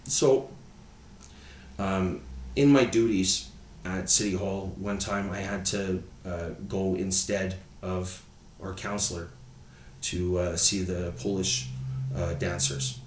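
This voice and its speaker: A man's voice: deep voice, steady tone, takes it slowly, reverberant, a little hiss or hum. A man in his thirties from Canada, chatting on a podcast, rambling and tagging on "right?" again and again. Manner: rambling, says "right?" often. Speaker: podcast co-host. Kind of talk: casual chat.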